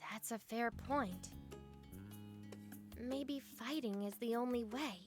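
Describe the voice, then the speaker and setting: A woman's voice: on the high side, slow pace, over music. A woman voicing a brave, idealistic young heroine in a video game, earnest and determined. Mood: earnest, resolute. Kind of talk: video game cutscene voice acting.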